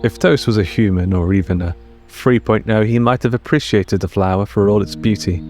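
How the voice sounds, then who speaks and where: Low-voiced man narrating a story. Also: fairly quick, darkish tone. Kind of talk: scripted fiction narration, audio storytelling. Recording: music under the narration.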